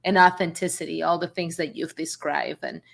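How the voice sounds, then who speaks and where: A woman hosting a live interview, affirming her guest warmly and laughing easily. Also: deep voice, fairly even intonation, moderate pace, creaky. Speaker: host.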